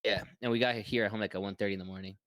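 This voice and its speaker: A man's voice: lowish voice, fairly even intonation, racing, fairly bright tone, no echo. A man co-hosting a fan podcast, chatting casually, rambling and swearing as he jokes. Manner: rambling, profane, joking. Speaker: podcast co-host. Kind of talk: casual chat.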